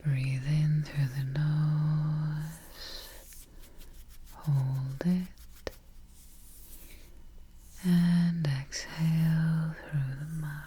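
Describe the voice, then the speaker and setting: Very slow, low whispering woman. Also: flat delivery, a little hiss or hum. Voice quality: soft, breathy whisper. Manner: slow, gentle, soothing, repeats soft check-ins. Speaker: ASMR host. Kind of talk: ASMR guided sleep relaxation. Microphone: close ASMR microphone.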